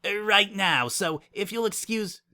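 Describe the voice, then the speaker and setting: Man as flustered female pony, lifted pitch, bright tone, hesitant, anxious, awkward, storybook drama. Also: takes it slowly, heavily acted. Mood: nervous, awkward. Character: flustered, nervous young female pony musician.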